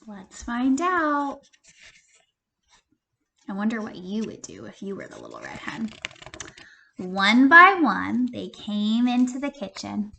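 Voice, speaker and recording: Bright, slow-paced woman teacher reading aloud. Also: medium pitch, gravelly, a little hiss or hum. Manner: expressive, questioning, addressing young listeners directly. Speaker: primary school teacher. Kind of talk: interactive children's read-aloud.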